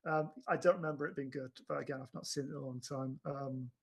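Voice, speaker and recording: A man's voice: medium pitch, fairly even intonation, fast, gravelly, husky. A man chatting casually as a podcast host about films, with a hesitant delivery full of repeated restarts. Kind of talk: casual chat reviewing films.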